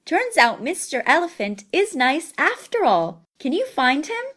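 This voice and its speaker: A woman's voice: sits high, very animated, unhurried, fairly bright tone. A woman narrating a children's read-along story, with music behind her.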